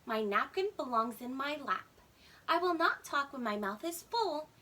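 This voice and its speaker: Woman voicing an excitable, high-pitched alligator boy. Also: normal speed, far from the mic. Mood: determined. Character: eager, playful young boy alligator. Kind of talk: children's storybook character voice.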